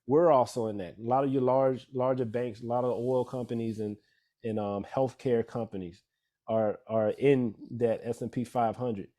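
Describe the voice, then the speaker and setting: A man at a middle pitch walks listeners through investing basics in a relaxed, dark-toned voice. He is a financial advisor presenting slides. He keeps checking in with his audience as he explains. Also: normal speed. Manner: conversational, many fillers and "all right?" checks. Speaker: financial advisor giving a talk. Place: church event room.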